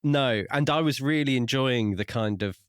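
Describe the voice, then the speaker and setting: A man co-hosting a podcast, explaining a book in a measured, conversational way. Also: medium pitch, clean tone, no echo, clean studio sound. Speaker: podcast co-host. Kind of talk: casual book discussion.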